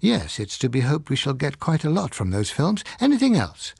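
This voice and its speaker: A man's voice: on the high side, wildly swooping pitch, vocal fry, husky, no room sound, studio quality, heavily acted. Man playing a learned, drily ironic scientist, swooping intonation. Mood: brisk, dry. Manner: deliberate, lecturing, crushing. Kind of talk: dramatised audiobook reading.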